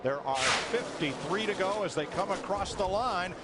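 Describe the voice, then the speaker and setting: High-pitched male race commentator over roaring engines. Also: expressive, moderate pace. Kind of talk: live motor racing commentary. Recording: loud engine noise behind. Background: roaring race cars at a speedway.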